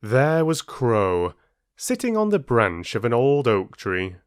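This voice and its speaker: A male storyteller reading a fable very slowly, his voice rising and falling expressively. The recording is close and dry, with a studio feel. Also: medium pitch, clean tone, no room sound. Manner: slow, expressive read-aloud.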